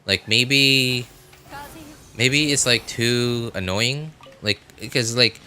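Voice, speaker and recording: A man's voice: mid-range voice, very slow, pushed and bright, slight background noise. A man hosting a game livestream, chatting casually and rambling as he repeats and reworks his phrases. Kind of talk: casual live stream chat.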